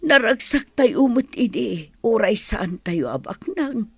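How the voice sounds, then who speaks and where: Worried elderly mother, Ilocano radio play: husky, plaintive, very expressive intonation. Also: a little high, unhurried, no room sound, full character voice. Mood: grieving. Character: sorrowful, worried old village mother. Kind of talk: Ilocano radio drama acting.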